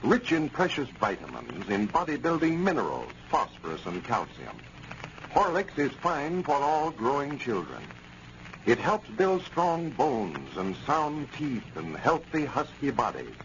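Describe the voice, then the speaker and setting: A male announcer reads a sponsor message in 1930s radio style. His pitch moves in wide, animated swings. The recording is muffled with faint scratchy noise. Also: measured pace.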